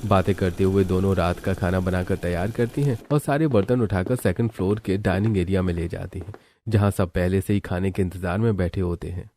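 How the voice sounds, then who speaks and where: Male cartoon narrator. Deep and mellow, reads scripted family tale over light noise. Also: a little fast.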